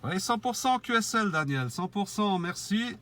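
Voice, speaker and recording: Amateur radio operator, male, higher-pitched voice, cordial, spelling out call signs phonetically. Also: expressive, moderate pace, sharp tone.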